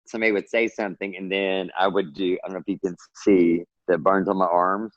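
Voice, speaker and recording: Deep-voiced man, dull call audio. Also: flat delivery, brisk, no room sound. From Texas. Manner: earnest, rambling, encouraging. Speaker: guest author. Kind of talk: casual interview talk.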